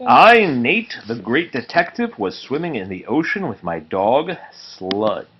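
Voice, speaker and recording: Male storybook reader, lively pitch movement, slightly dull home sound. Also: unhurried. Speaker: read-aloud storyteller. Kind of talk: children's book read aloud to a small child. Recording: dull muffled home recording, faint noise.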